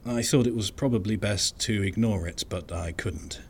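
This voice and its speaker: A man giving scripted first-person narration of an eerie story in a measured, uneasy way. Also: fairly low pitch.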